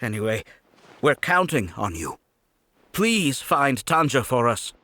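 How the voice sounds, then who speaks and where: A man's voice: medium pitch, big pitch swings, takes it slowly, bright, forceful tone, a little hiss or hum, heavily acted. A man voicing a worried, polite craftsman and father in an anime video game, earnestly explaining. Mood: hopeful.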